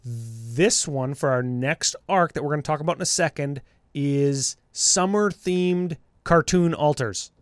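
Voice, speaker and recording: A man's voice: on the high side, unhurried, no echo. Upbeat male podcast co-host, scratchy voice. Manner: laughs often, upbeat, trails off. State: scratchy voice from a cold. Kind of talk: casual chat.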